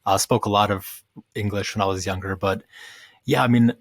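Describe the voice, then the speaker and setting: A man's voice: lowish voice, fairly even intonation, normal speed, no room sound. A man in his late twenties who grew up in the US after early childhood in Ukraine, talking in a rambling, casual way as a podcast guest, with many fillers and restarts. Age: 20s. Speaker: podcast guest, community founder. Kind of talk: casual interview talk.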